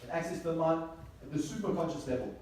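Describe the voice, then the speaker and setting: A man's voice: a little high, fast, darkish tone. A man giving a motivational seminar talk to a live audience, emphatic, repeating key phrases and asking rhetorical questions. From South Africa. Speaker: motivational speaker. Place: echoey indoor venue with an audience. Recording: echoey room, distant mic, faint noise.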